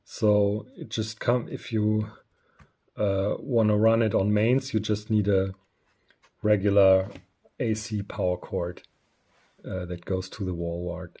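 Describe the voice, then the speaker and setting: A man giving a casual, unscripted product review, speaking haltingly with frequent pauses. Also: fairly low pitch, little pitch movement, dark tone, slightly rough. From Germany. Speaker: radio reviewer.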